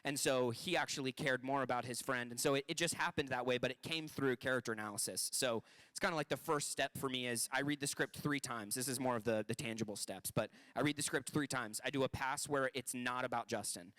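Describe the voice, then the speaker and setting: A man's voice: medium pitch, a little fast, a bit bright. A man in his twenties, an actor, talking upbeat and at length on a panel, often restarting his sentences. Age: 20s. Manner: upbeat, talkative, many restarts. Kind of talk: panel talk.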